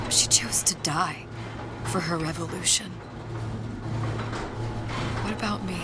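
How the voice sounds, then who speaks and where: An actress playing a weary, guilt-ridden young woman in a video game, speaking in a haunted, halting, introspective way. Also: lowish voice, lightly acted. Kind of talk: dramatic video game acting.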